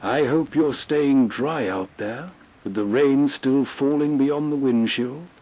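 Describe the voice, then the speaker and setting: A male narrator speaks in calm, soothing late-night tones. He talks very slowly, and his voice has a husky, breathy grain to it. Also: mid-range voice, low-quality recording.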